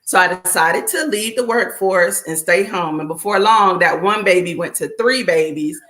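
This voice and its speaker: A middle-aged woman, a children's book author, talking casually as a guest, reflective and relaxed with many fillers. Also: lowish voice, moderate pace. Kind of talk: casual conversation.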